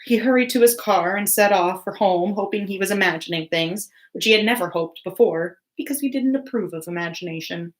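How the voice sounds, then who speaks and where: A woman reading a story aloud like a teacher, in expressive narration. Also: mid-range voice, brisk, few pauses.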